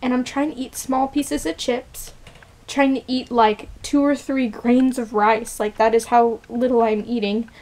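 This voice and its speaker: A woman's voice: a little high, level tone, measured pace, a little mellow. A young woman in her twenties, unwell and shaky, chatting casually in a personal vlog, rambling with many fillers and restarts, filmed close up on a camera in a small bedroom. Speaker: vlogger. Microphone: camera close up, built-in mic.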